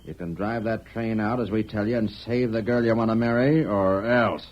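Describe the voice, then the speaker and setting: A man's voice: on the low side, moderate pace, natural voice. A man acting a menacing, bullying outlaw with folksy frontier grammar in an old radio western. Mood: commanding. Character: menacing male western outlaw, coolly threatening. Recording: muffled old radio drama recording.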